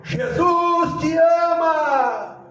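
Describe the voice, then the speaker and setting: A man's voice: very high-pitched, brisk, very echoey, distant mic. A preacher voicing an earnest, insistent young man on a mission, with a Brazilian accent, in a sermon story. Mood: crying out. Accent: Brazilian Portuguese. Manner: urgent, pleading, calling out.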